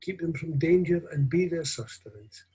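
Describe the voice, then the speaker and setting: An older man, a minister, reading formal prayers solemnly, reverently and deliberately. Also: on the high side, lively intonation, warm tone, slightly rough, rough recording. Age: 60s or older. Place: small room at home. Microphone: video call or webcam at desk distance.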